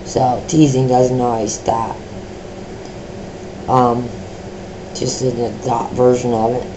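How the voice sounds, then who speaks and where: A middle-aged woman with a deep voice, sharing her own experiences in a personal vlog, speaking slowly with many pauses, ums and restarts. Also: flat delivery, background noise. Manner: slow, halting talk with many fillers and restarts.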